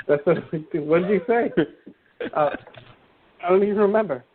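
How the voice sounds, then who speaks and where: A man's voice: sits high, unhurried. A man co-hosting a casual sports podcast, joking and loose, often trailing off and restarting. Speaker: podcast co-host. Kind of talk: casual sports call-in chat. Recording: tinny phone-like line with faint hiss.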